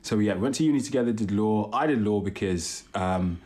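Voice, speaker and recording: Low-voiced young British man, chatty. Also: brisk, warm tone. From born in London, raised partly in Italy and England. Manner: chatty, informal, self-deprecating. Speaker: podcast co-host. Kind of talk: casual personal storytelling.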